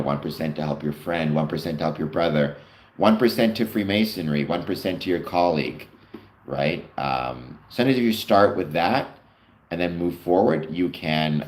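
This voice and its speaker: A Canadian man hosting a casual livestream, rambling with fillers as he answers viewers' comments. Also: a bass voice. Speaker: livestream host. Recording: echoey room.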